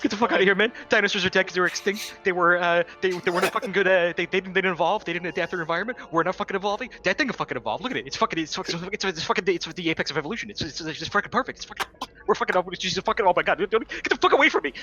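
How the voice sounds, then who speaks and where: A man's voice: sits high, very fast, bright, forceful tone, lo-fi sound, faint music behind, pitched above the performer's own voice. A man voicing a panicking, foul-mouthed survivor in a tabletop horror game, ranting and stammering as he swears. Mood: frantic, panicked.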